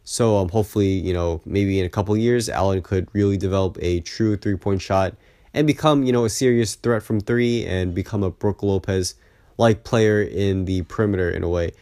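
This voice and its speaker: A man speaks in a low voice that sounds sick and partly lost. He delivers casual sports analysis like a video host talking to his viewers, opinionated and peppered with "you know". The sound is dry, with very little room around it. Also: moderate pace, mellow, dry recording. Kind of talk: casual basketball recap and analysis vlog.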